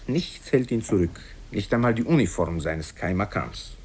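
A man voicing a gleeful, sly provincial governor in a radio story, chuckling and excitable, affectionate in manner. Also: on the low side, moderate pace, natural voice. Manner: chuckling, excitable, swooping delivery. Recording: hissy old radio recording from cassette.

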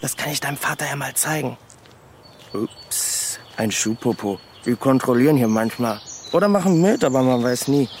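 A man's voice: mid-range voice, animated, moderate pace, a little mellow, slight background noise, close to an everyday voice. An actor playing a cheeky, flippant man loitering in a park in a German radio drama, chatty and teasing. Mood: cheeky, needling.

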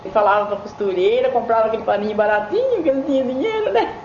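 A woman's voice: fairly high pitch, animated, fast, darkish tone. An older Brazilian woman reminiscing casually and colloquially as a radio listener. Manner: colloquial, acts out remembered remarks. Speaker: radio listener giving testimony. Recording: echoey, muffled, faint background noise.

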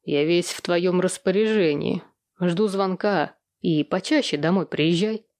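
A woman's voice: fairly low pitch, unhurried, darkish tone, no room sound, studio quality. A woman voicing a possessive, controlling ex-husband with a stern, commanding, menacing manner in an audiobook. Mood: curt, mocking.

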